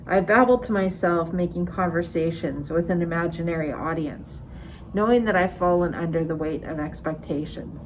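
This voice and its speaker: Low, creaky-voiced woman reading poetry. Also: muffled recording, slight background noise. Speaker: amateur poet. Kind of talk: reading a confessional poem aloud.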